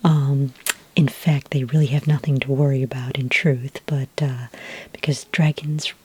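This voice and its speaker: A woman playing a patient, helpful fantasy dragon ranch expert in a whispered, breathy, hesitant ASMR roleplay. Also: very deep voice, flat delivery, exaggerated voice. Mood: gentle, helpful. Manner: hesitant, unhurried explaining, frequent ums.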